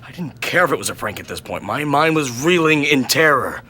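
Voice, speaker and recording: A man reading a first-person horror story in an intense, theatrical manner that swings between hushed and loud. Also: mid-range voice, big pitch swings, fairly quick, a little hiss or hum. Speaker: narrator and reader of a horror story.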